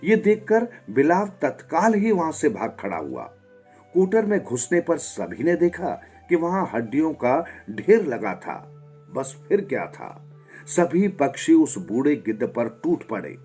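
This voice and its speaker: A man's voice: a little high, big pitch swings, a little slow, dark tone, slightly rough. A man narrating a scripted moral story expressively as a storyteller, with music behind him.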